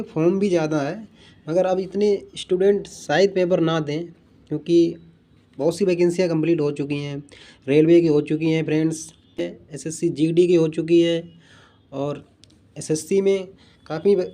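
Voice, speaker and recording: A man's voice: sits high, mellow. A young man giving informal exam strategy advice as a coaching instructor, earnest and repetitive in the way he addresses his listeners.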